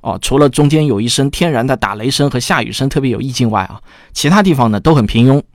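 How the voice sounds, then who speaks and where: A man's voice: medium pitch, fast, no echo, clean studio sound. A man giving a casual spoken introduction as a host, chatty and self-deprecating in manner.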